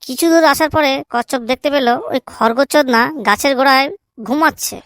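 A woman's voice: high-pitched, normal speed, fairly bright tone, dry recording. A woman voicing a cheeky, crude village schoolboy in a comedy cartoon. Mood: cocky. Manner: cheeky, blunt excuse-making.

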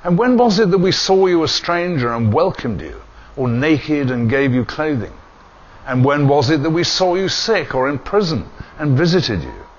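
An older man, a priest, leading a church service and reading the gospel in a measured, solemn way in a small chapel, recorded from a distant camera. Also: on the high side, animated. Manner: measured, solemn liturgical delivery. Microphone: far camera. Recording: dull lo-fi camera audio with faint hiss.

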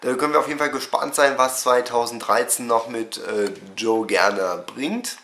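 A man's voice: mid-range voice, normal speed, sharp tone, echoey room. A young man in his twenties with a cold, casually recapping the week for his fan channel on a webcam at home, full of fillers. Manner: chatty, many fillers, talks straight to camera. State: has a cold, voice sounds off. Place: small room at home.

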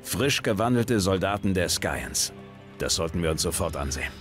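A sci-fi game commander with a very low voice. He is gruff and laconic, with a dry, steady way of talking that suits a supportive hero. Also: moderate pace, faint music behind. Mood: businesslike. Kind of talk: video game dialogue acting.

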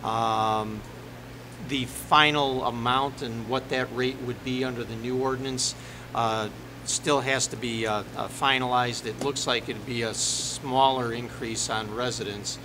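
A middle-aged man speaking haltingly as a village attorney, formally explaining ordinances at a board meeting. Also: steady tone. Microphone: desk microphone on the dais. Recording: noisy meeting room with ventilation rumble.

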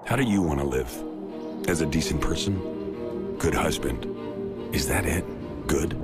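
An extremely deep male voice booms through a vehicle commercial, slow and punchy. Loud music drives along beneath him. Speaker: ad voice-over. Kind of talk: dramatic commercial voice-over.

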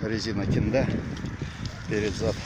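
A man's voice: on the low side, fairly even intonation, measured pace. A man casually chatting on a bicycle ride along a village road, rambling with lots of slang and fillers, recorded on a phone mounted on the handlebars. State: riding a bicycle while talking. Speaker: vlogger. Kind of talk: casual first-person ride commentary. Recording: loud wind noise on the mic.

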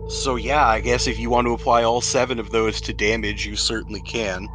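A man's voice: medium pitch, little pitch movement, a little fast, a bit bright. A man speaking casually as game master, running and narrating a tabletop roleplaying game. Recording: background music under the voice. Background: music.

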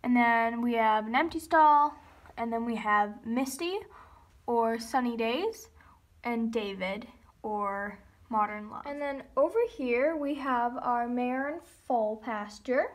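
A woman giving a casual guided tour of her model horse barn, listing each horse in a steady run of "and then". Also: fairly high pitch, slow pace. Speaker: model horse hobby vlogger. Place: indoors in a home room beside a toy horse barn.

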